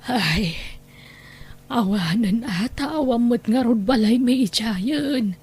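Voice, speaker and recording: Woman acting high, husky, humble Ilocano grandmother. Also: expressive, takes it slowly, darkish tone, heavily acted. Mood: sorrowful. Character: humble, pleading older homeless wife and grandmother. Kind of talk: Ilocano audio drama acting.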